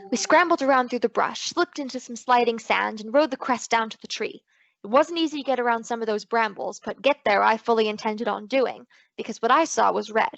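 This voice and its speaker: A woman's voice: on the high side, a little fast, creaky, no echo, highs cut off. A woman playing a wisecracking female private detective who narrates her case in slangy old-time radio style. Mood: wry storytelling. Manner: wry, slangy hard-boiled storytelling.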